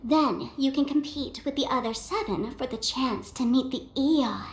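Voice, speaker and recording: A woman's voice: high-pitched, moderate pace, reverberant. A woman voicing a sly, teasing, theatrical young female trickster in an anime-style video game. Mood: theatrical storytelling.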